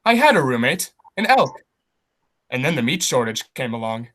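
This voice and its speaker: A man's voice: medium pitch, sing-song, moderate pace, close to an everyday voice. A man playing an eccentric, people-hating hermit who poses as a lion in a comedy radio play, on a very noisy, clicky home recording. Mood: sly.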